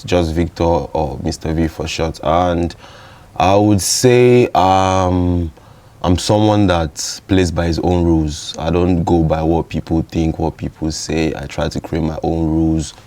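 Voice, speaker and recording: Male podcast guest, Nigerian accent, low and mellow voice, relaxed conversational delivery. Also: measured pace. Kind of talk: casual opinionated chat.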